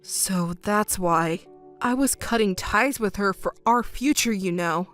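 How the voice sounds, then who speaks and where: A woman's voice: mid-range voice, a little slow, fairly bright tone, faint music behind, pitched below the performer's own voice, lightly acted. A woman's voice doing a sheepish cheating man. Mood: pleading.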